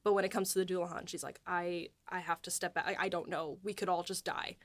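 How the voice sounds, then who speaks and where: A woman co-hosting a podcast, chatting casually and laughing, with casual swearing and hushed retellings of scenes. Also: fairly low pitch, steady tone, quick pace, no room sound. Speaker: writer and podcast co-host. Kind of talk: casual behind-the-scenes chat.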